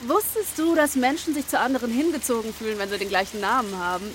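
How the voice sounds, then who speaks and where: Actress as odd, high-voiced young student in rain. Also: female voice, fast talker, lightly acted. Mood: insistent, curious. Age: young adult. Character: odd, pushy young female student, needy stranger. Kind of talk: radio drama acting. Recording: clearly noisy rainy street ambience.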